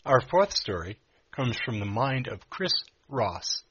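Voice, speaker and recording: A man's voice: mid-range voice, very animated, slow, a bit bright, breathy edge, lo-fi sound. A man hosting a story podcast, giving scripted introductions. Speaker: host.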